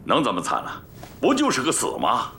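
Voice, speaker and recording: Forceful, swooping, blunt comrade. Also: male voice, mid-range voice, huge pitch swings, brisk, a little hiss or hum. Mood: scornful, indignant. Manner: blunt, exclamatory. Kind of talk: Chinese historical drama acting.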